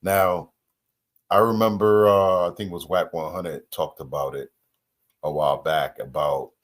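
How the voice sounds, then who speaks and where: A man's voice: low voice, fairly even intonation, measured pace. A man hosting a reaction video in casual, slangy chat, repeating phrases for emphasis.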